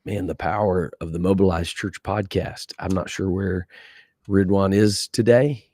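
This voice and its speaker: A man's voice: low voice, steady tone, unhurried, warm tone, dry recording, studio quality. A man hosting a podcast, upbeat and friendly in casual banter. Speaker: podcast host.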